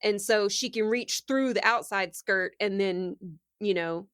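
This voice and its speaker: A woman's voice: medium pitch, unhurried, fairly bright tone, smooth, dry recording. Woman chatting casually, dry close sound. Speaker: player in a tabletop game podcast. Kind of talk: casual chat.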